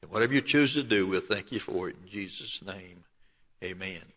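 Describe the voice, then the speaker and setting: A man in his seventies with a Southern Alabama accent, preaching and telling his life story in a folksy way, often clearing his throat. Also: sits low, fairly quick, fairly bright tone. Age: 70s. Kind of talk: sermon with personal testimony. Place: church.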